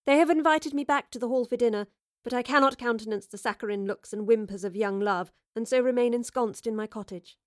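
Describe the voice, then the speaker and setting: Woman as a prim, formal widow, fairly high voice, stiffly polite. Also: brisk, few pauses, dry recording, studio quality, close to an everyday voice. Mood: dry.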